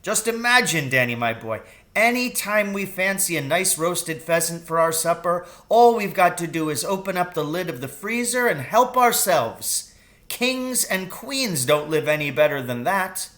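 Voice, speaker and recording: A man's voice: high-pitched, animated, measured pace, fairly bright tone, pitched above the performer's own voice. A man voicing an exuberant, boastful, loving father in a children's story, with grand, emphatic declarations. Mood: excited.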